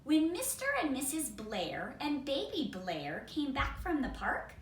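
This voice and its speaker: A woman reading a picture book aloud to children, expressive and deliberate, with simple asides. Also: high voice. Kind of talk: children's read-aloud narration. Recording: roomy, distant, noisy home recording.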